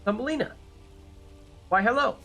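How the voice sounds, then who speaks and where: A man's voice: very high voice, normal speed, creaky, sharp tone, soft background music. A man giving casual live commentary on a video game, chatting and thinking aloud as he plays. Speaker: game streamer, host.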